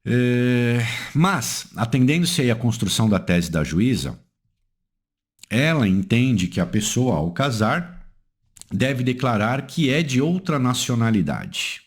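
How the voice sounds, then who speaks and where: Lively Brazilian man explaining the law. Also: takes it slowly, husky. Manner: asks rhetorical questions and answers them; emphatic, swings pitch up and down for stress. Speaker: legal analyst. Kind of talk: explanatory legal lecture.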